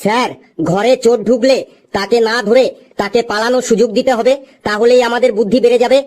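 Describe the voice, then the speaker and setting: A woman's voice: fairly high pitch, fairly even intonation, quick pace, a little mellow, natural voice. A woman voicing a cheeky, literal-minded schoolboy in a comedy cartoon, answering back in a matter-of-fact way.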